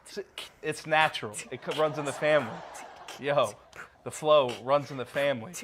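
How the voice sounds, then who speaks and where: A man playing a boastful father who brags that he can beatbox, talking loud and full of bravado. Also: fairly high pitch, normal speed, natural voice. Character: boastful dad, a self-proclaimed beatboxer. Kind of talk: comedy skit acting. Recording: background noise.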